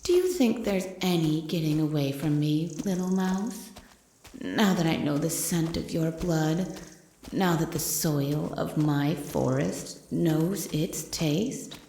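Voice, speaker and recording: A woman voicing a menacing female forest monster that slowly taunts its prey in a mocking horror roleplay. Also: sits low, warm tone, reverberant, a little hiss or hum, rough recording. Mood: mocking, amused. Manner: slow, drawn-out, mocking. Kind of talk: horror audio roleplay, ASMR.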